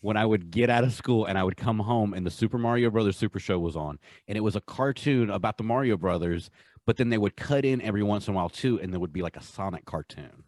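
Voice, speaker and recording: A man with a fairly low voice talks as a podcast co-host. He is casual and enthusiastic, repeating himself for emphasis. The sound is dry, with little room in it. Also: fast, a little mellow, no room sound. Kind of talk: casual pop-culture countdown chat.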